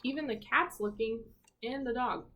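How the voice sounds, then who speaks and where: A woman teacher reading a picture book to young children, expressively, with chatty asides and questions. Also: on the high side. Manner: expressive, pausing to comment on pictures and prompt children. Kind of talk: storybook read-aloud with asides and questions to young listeners.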